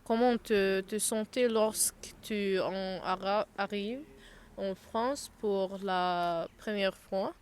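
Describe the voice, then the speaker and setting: Slow, clear-voiced woman interviewer. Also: medium pitch. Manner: slow, halting delivery with restarts mid-word. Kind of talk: reading prepared interview questions.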